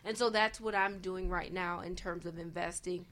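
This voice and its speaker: A woman's voice: on the low side, steady tone, normal speed, fairly bright tone. Emphatic woman panelist talking investing. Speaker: panel guest, real estate investor. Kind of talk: conversational panel talk on investing.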